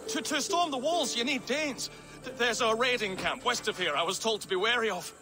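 Scared stammering monk in a game, really high voice, begging, loud music behind. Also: male voice, brisk. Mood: panicked pleading. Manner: stammering, panicked, pleading. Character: cowardly, pleading monk, a treacherous informer. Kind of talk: video game cutscene acting.

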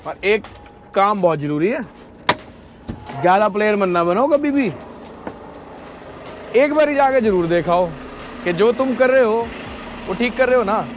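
Trucker guy vlogging, pretty high voice, chatty and animated, talking to his viewers. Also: unhurried, pauses often, noisy background. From India. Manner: animated, addresses viewers as brother. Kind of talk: casual vlog chat to viewers. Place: truck cab and snowy yard outdoors.

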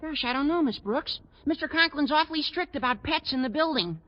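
Eager high-pitched teenage schoolboy. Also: male voice, lively intonation, brisk. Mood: chipper. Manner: gushing, earnest, boyish. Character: eager, squeaky teenage schoolboy, naive and conspiratorial. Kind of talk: 1940s radio sitcom acting. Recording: muffled, noisy 1940s radio recording.

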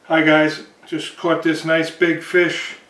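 A middle-aged man talking casually and excitedly to the camera as he cleans a fish in his kitchen. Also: fairly high pitch, slow, roomy sound, a little hiss or hum. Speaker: angler. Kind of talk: casual fishing vlog. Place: home kitchen indoors, also outdoors by a lake.

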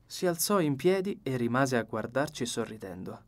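A man reading an audiobook as narrator, with measured, expressive literary storytelling. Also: medium pitch. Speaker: audiobook reader.

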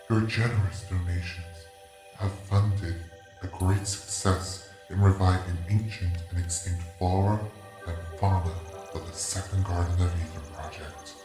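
A formal male presenter for a science company, acted by a man in an audio drama. His voice is low and measured, echoing over clear music. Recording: echoey, music underneath.

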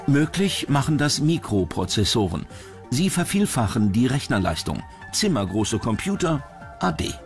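Lively male documentary narrator over music. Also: medium pitch, a little slow. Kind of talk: scripted narration. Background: background music.

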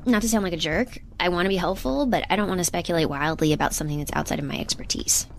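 A woman's voice: on the low side, fast, natural voice. An actress playing an earnest, literal-minded young woman researcher in a sci-fi audio drama, speaking conversationally and a bit hesitantly. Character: earnest, awkward young woman researcher defecting from corporate ship. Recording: clear ambient noise behind.

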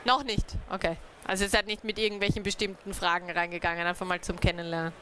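A woman's voice: fairly low pitch, little pitch movement, fast talker, bright, forceful tone, a little hiss or hum. A young woman, a native speaker, giving an informal talk to a small group about community networks, hesitant with many fillers. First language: German. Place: dark event room, on stage.

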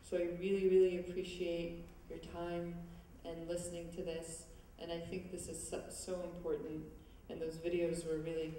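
A woman's voice: lowish voice, completely flat delivery, normal speed, dark tone, recorded from a distance, slight background noise. Young woman speaking hesitantly in a hall. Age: young adult. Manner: hesitant, many fillers and restarts. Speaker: student organization speaker. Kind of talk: prepared public remarks. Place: large auditorium stage. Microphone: handheld mic, far camera. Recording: echoey hall recorded from afar.

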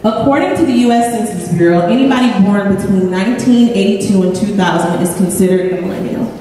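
A woman's voice: medium pitch, fast talker, warm tone. A woman presenting to a panel audience in an informative way. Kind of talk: panel introduction. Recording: echoey hall from far off.

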